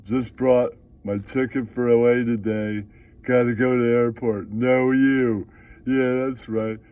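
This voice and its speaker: A man's voice: mid-range voice, steady tone, a little mellow, lightly acted. A man playing a foul-mouthed, aggrieved puppet character who blusters indignantly in an improvised comic monologue. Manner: blustering, swearing, drawn-out indignation.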